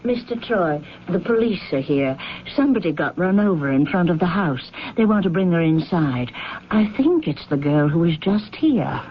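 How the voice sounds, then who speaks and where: A woman's voice: lowish voice, animated, normal speed, natural voice. An actress playing an urgent household servant woman in a vintage radio drama. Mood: urgent, alarmed. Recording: muffled, noisy old radio recording.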